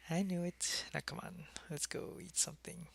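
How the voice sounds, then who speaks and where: A man acts out an old-fashioned male vampire with a creaky, gravelly edge and a bright, crisp tone. He is wistful and friendly, speaking softly and casually as if in an intimate ASMR roleplay. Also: high voice, level tone, full character voice. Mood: casual, inviting, then hushed, wistful. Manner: unhurried, casual, soft-spoken, often dropping into whispers.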